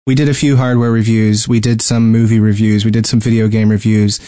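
A man's voice: on the low side, level tone, brisk, warm tone, no room sound, studio quality. A man co-hosting a gaming podcast in casual chat, talking hesitantly and often repeating words mid-sentence. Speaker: podcast co-host.

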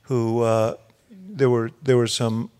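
A man's voice: mid-range voice, expressive, takes it slowly, mellow, clean tone. A man hosting a live onstage interview, asking long questions with many fillers and restarts. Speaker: interviewer, podcast host. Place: university event stage with audience.

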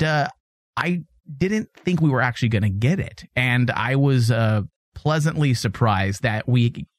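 A man's voice: medium pitch, no echo, clean studio sound. A man co-hosting a casual podcast, chatting animatedly and teasing his co-host, laughing easily.

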